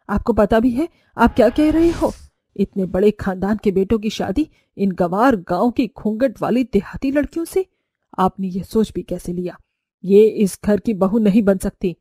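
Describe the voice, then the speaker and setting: A woman's voice: medium pitch, normal speed, mellow, breathy edge, dry recording, natural voice. A woman voicing an indignant, snobbish middle-aged mother-in-law in a cartoon story, scolding with emphasis. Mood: outraged.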